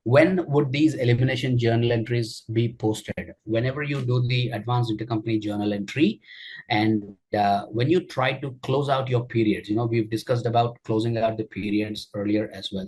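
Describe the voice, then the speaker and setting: Husky-voiced man explaining software steps. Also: medium pitch, fairly even intonation, normal speed, rough recording. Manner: explanatory, frequent fillers and "okay" checks. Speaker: software trainer. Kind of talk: screen-share walkthrough tutorial.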